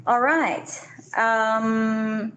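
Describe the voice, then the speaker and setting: A woman's voice: a little high, steady tone, drawn-out words, a bit bright, highs cut off. A woman from China and Malaysia giving an informal business presentation to a meeting. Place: meeting room, hybrid event. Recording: echoey room, faint noise.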